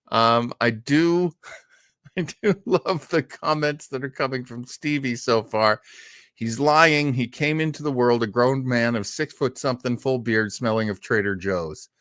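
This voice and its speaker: A man's voice: medium pitch, a little slow, a bit bright, no room sound, clean studio sound. A man chatting casually as the host of a livestream, rambling in a self-deprecating, easily amused way. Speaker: host of an old-time radio livestream.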